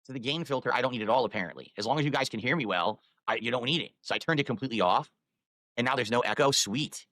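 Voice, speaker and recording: A man's voice: medium pitch, quick pace, a bit bright, no room sound, rough recording. A man live-streaming, rambling while he fiddles with his microphone and audio settings.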